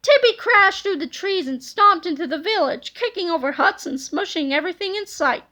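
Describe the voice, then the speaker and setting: A woman narrating a children's storybook aloud in an expressive read-aloud style. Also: extremely high pitch, moderate pace.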